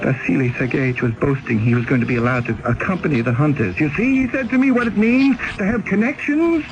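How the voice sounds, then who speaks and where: An actor playing a desperate, pleading exiled convict in his twenties, speaking haltingly in an old-time radio drama. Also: fairly high pitch, expressive, close to an everyday voice. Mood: excited. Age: young adult. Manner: agitated, halting with repeated words. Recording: dull, noisy old radio recording.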